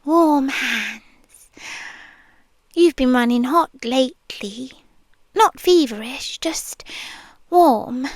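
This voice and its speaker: British woman doing a possessive yandere nurse, high soft voice, very slow, close-mic whispers, dry and intimate. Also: lively intonation, no room sound, exaggerated voice. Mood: whispery possessive. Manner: slow, intimate, teasing purr with frequent whispers. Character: obsessive possessive young female nurse, sweetly controlling. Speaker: ASMR roleplay creator. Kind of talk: ASMR roleplay, soft-spoken and whispered.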